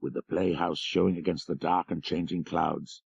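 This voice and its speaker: A man's voice: low-pitched, fairly even intonation, moderate pace, creaky, slightly rough, no room sound, muffled recording. A man reading a literary story as scripted audiobook narration.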